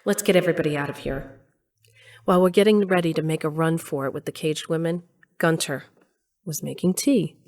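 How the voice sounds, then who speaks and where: A bold female lead in an audio drama. The voice sits a little low, moving between brisk first-person narration and dialogue. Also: a little mellow. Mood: determined. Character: brisk, bold heroine narrating her rescue adventure.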